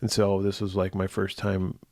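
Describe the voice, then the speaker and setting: A man in his forties with a slightly hoarse, raspy voice, still worn from illness. He co-hosts a podcast in loose, rambling chat full of fillers and restarts. His voice sits fairly low and the recording is close and dry. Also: monotone, moderate pace, darkish tone, no room sound. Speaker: podcast co-host. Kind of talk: casual chat.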